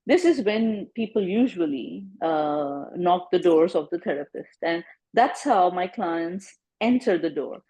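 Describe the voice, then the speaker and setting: A woman psychologist as an interview guest, explaining her work in a relaxed way with everyday comparisons and often checking with "right?". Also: lowish voice, unhurried, hardly any pauses, husky, poor audio. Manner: explains with analogies, tags "right?". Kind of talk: casual interview chat.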